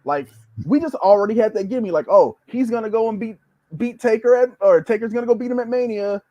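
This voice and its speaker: A man's voice: sits high, brisk, dark tone, dry recording. A man chatting as a podcast guest, telling casual stories in loose, conversational phrasing. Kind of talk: casual storytelling chat. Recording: dry, slightly dull lo-fi audio.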